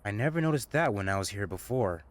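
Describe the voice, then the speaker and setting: A man's voice: lowish voice, animated, fast, no room sound, lightly acted. A man playing a casual, confident young scout leading friends in an audio drama. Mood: casual, assured.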